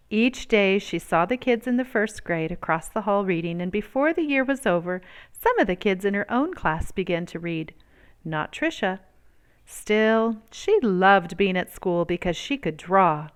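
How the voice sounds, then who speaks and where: Clear, smooth-voiced woman reading a story aloud. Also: mid-range voice. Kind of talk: expressive read-aloud narration.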